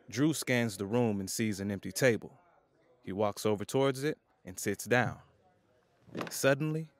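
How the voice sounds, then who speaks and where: A man's voice: fairly low pitch, fairly bright tone. A man reading scripted scene narration for an audio drama as its narrator.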